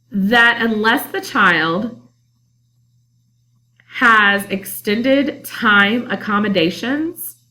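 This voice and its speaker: A woman teacher narrating a calm instructional screencast about online testing. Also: mid-range voice, rough recording. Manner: calm, explanatory.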